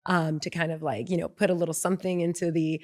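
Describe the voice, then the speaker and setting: A woman's voice: fairly low pitch, little pitch movement, fast talker. A woman from Mexico, a guest founder talking casually in an interview, with frequent ums and restarts. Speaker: guest, beverage brand founder.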